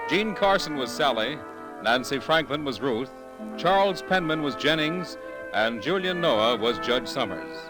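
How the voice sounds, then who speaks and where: A man announcing for a 1940s radio network, reading a script in a formal, polished and measured way. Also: mid-range voice, rough recording. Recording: dry vintage radio broadcast, faint music.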